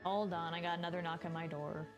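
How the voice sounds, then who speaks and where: A woman's voice: on the low side, little pitch movement, quick pace, music in the background. Woman casually streaming a story game. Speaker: livestreamer.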